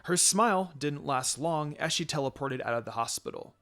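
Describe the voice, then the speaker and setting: A man's voice: fairly high pitch, animated, normal speed, sharp tone, soft background music. A man narrating a written story aloud as a scripted reading. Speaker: fan fiction reader.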